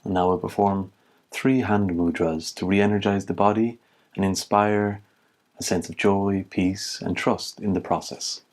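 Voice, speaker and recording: A man giving guided meditation instruction as a teacher, in measured short phrases with long pauses. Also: low-pitched, flat delivery, darkish tone.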